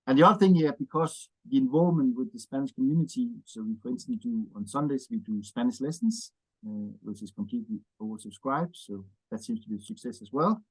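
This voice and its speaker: A middle-pitched man in his fifties speaks English with a Danish accent. His talk rambles easily, with many "uh"s and "yeah"s tagged onto the end of his sentences. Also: expressive, fairly quick, slightly rough, rough recording. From Denmark. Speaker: interview guest, wine bar owner. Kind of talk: casual interview chat.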